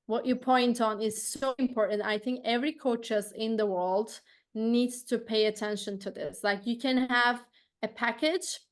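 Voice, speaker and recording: A woman with a Turkish accent hosts a casual podcast chat, her voice sitting a little high. She speaks earnestly and thoughtfully, often circling back and restarting her sentences. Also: steady tone, measured pace. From Turkey. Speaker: podcast host.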